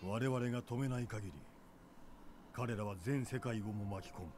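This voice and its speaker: A man playing a stern, world-weary mentor in a dubbed video game, speaking gravely and dismissively. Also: mid-range voice, moderate pace, noisy background, natural voice.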